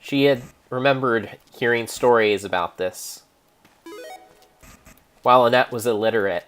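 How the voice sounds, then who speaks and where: A man narrating an improvised story in a theatrical, measured way, pausing between phrases. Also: medium pitch, fairly bright tone, faint music behind. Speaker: streamer, narrator.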